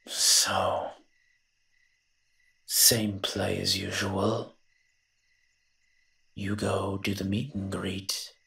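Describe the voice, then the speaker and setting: A low, bright-edged male monster, as a man acts him out in an audio roleplay. He grumbles in a sardonic, even-pitched delivery, reluctant on the surface and secretly soft-hearted underneath. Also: extremely slow, gravelly, pauses often, full character voice. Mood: grumbling, reluctant. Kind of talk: scripted character monologue, audio roleplay.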